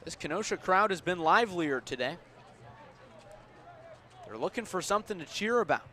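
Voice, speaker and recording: A man calling baseball live on the radio, measured between pitches and punchier on plays, as an announcer does. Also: lively intonation, pushed and bright. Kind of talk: live radio sports commentary. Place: outdoor baseball ballpark. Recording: outdoor ballpark ambient noise.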